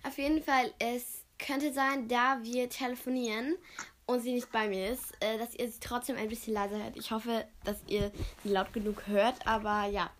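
A woman's voice: on the high side, level tone, moderate pace, slight background noise. A woman hosting a casual podcast, cheerful as she reads facts out in a list. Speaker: podcast host.

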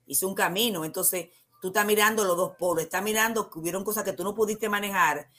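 A woman with a Dominican accent, a guest counselor on a talk show, explaining ideas in a calm, teacherly way and answering her own questions. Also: mid-range voice, quick pace.

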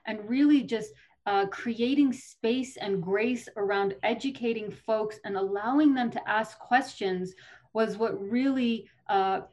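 A woman's voice: on the high side, a little slow, a little mellow. A woman in her thirties from Colorado, a lawmaker answering podcast interview questions in an earnest, articulate way with some fillers and restarts. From Colorado, USA. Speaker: state legislator, guest.

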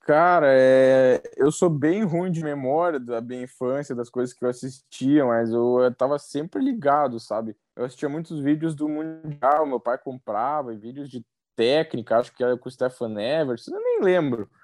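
A young man with a southern Brazilian accent chats in an easygoing, unhurried way, stretching his fillers as he thinks aloud. His voice sits in an ordinary middle register. The recording is dry and close, with no room sound around him. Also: medium pitch, no echo. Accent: southern Brazilian Portuguese. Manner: relaxed, easygoing, drawn-out fillers. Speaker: professional athlete guest. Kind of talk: casual podcast conversation.

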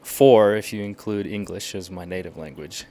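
Animated young man explaining language learning. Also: low-pitched, moderate pace. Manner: animated, explanatory, acts out quotes. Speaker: podcast guest, language learner. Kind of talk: casual podcast chat.

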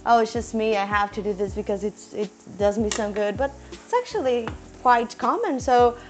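Young woman, squeaky high voice, rambling casual kitchen chat, slow deliberate talk, faint background music. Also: expressive. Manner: rambling, many fillers and restarts; deliberately talking slowly. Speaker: cooking vlogger. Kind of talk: casual chat while cooking. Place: home kitchen.

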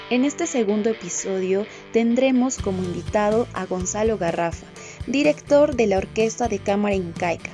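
A woman reading a scripted introduction as host of a music segment. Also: mid-range voice.

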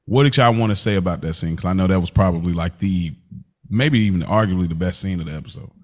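Male podcast host, low-pitched, informal and halting, running through a recap conversationally. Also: darkish tone. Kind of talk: casual, loosely structured recap.

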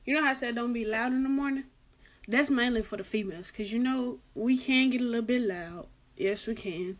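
A young woman gives casual advice in a chatty vlog. She is soft-spoken, has a light, girlish voice and keeps repeating her warnings. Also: fairly high pitch, fairly quick, slight background noise. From Arkansas, United States. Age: late teens. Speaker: vlogger giving school advice.

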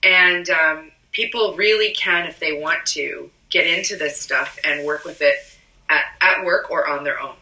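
A hoarse woman with a cold co-hosts a casual podcast, explaining at length with plenty of ums. Her low voice is very bright and edgy. She sits a little back from the mic in an echoey room. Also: a little slow, far from the mic. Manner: rambling, explanatory, frequent ums. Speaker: podcast co-host. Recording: roomy echo, a bit off-mic.

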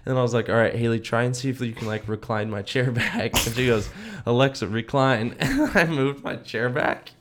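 A man's voice: medium pitch, normal speed, few pauses, a little hiss or hum. A young man co-hosting a podcast, chatting casually in slang and acting out what people said as he tells stories. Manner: animated slangy storyteller, acts out quotes. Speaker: podcast co-host. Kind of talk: casual chat, storytelling.

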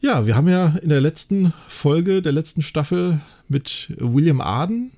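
A man's voice: a little high, expressive, moderate pace, warm tone. A man co-hosting a podcast, talking casually and conversationally and often seeking agreement at the end of sentences. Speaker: podcast co-host.

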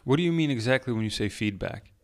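A man hosting an interview podcast, introducing a guest and asking relaxed, conversational questions. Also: mid-range voice, fast talker, dry recording, studio quality. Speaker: podcast host.

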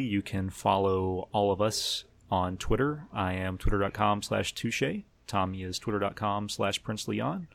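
A man hosting a casual podcast discussion, talking in a somewhat halting, hesitant way. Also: on the low side, creaky. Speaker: podcast host.

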